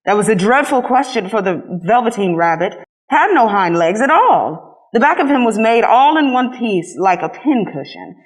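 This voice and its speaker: A woman reading a children's story aloud as a scripted narration, in an expressive storytelling manner. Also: fairly high pitch, normal speed, poor audio. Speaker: storybook reader.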